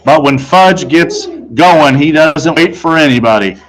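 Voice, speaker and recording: A man reading a children's novel aloud in an expressive, conversational way, telling it as a boy in the first person. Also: a little high, measured pace, poor audio. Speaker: storybook reader.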